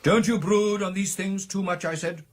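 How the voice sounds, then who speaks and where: An actor playing an excitable British gentleman recounting a ghostly encounter in a radio drama, with theatrical, animated delivery. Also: high-pitched, normal speed. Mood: animated, musing. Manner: theatrical, animated storytelling with exclamations and hesitations.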